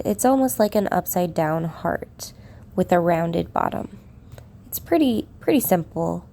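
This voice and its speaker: A woman with a croaky voice from a cold, narrating a drawing tutorial in an unhurried way while she shades. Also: medium pitch, dark tone. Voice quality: gravelly. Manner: unhurried, talks while shading. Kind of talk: relaxed drawing tutorial voice-over.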